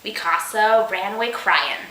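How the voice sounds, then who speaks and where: A woman's voice: mid-range voice, lively intonation, measured pace, sharp tone, echoey room. A woman reading comic fiction aloud as host, theatrical, with sarcastic, exasperated asides. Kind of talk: comedic dramatic reading with asides.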